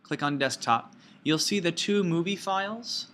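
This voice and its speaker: Bright-voiced man teaching a tutorial. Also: a little high, a little slow, slight background noise. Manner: folksy, playful asides and sound words. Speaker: teacher giving a software tutorial. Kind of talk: informal step-by-step screencast instruction.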